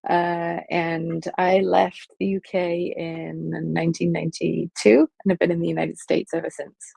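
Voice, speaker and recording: A woman in her fifties, from Liverpool, answering interview questions thoughtfully with frequent pauses and fillers over a video call. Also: fairly low pitch, flat delivery, vocal fry, warm tone, breathy edge, dry recording. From England, Liverpool. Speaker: film studies professor, guest.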